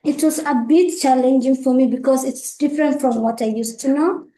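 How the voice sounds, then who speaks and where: A woman's voice: high-pitched, moderate pace, mellow. A young woman from Ethiopia, earnestly telling her story as a student guest. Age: young adult. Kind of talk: prepared personal story. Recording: echoey room.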